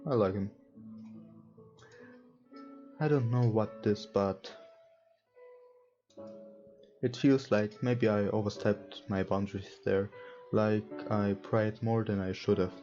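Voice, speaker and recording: Slow, low-voiced man over guitar music. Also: fairly even intonation, dark tone. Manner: hesitant, halting, searching for words. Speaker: let's player. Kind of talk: reading visual novel text aloud with casual commentary. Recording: dull lo-fi mic with music behind. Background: guitar game music.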